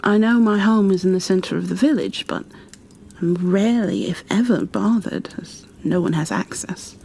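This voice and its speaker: A woman playing a gentle, protective creature bookkeeper in a soft-spoken ASMR roleplay, hushed, soothing and a little hesitant. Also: medium pitch, moderate pace, creaky, a little hiss or hum, natural voice. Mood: gentle, reassuring.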